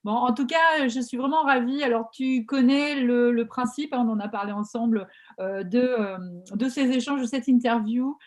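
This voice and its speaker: This is a woman host with a somewhat high voice who talks chattily and with energy while she interviews a guest. She leans on fillers and restarts, and she is speaking over a video call. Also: moderate pace. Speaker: podcast host and coach. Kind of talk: casual interview hosting.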